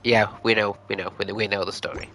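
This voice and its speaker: A man's voice: fairly low pitch, little pitch movement, fairly quick, a crowd in the background. A man streaming a video game, giving casual commentary and murmuring reactions to himself.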